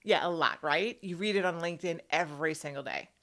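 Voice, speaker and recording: A woman's voice: lowish voice, fairly quick, sharp tone. Emphatic woman giving coaching advice. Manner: emphatic, coaching, checks in with "right?" and "okay?". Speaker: career coach hosting an advice video. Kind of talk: instructional talk straight to the viewer.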